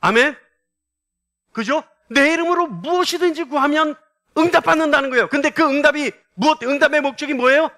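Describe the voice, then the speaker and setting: High, bright-voiced male preacher. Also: quick pace. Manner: emphatic, rhetorical questioning, repeats phrases for stress. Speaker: pastor. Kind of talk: church sermon with call-and-response prompts.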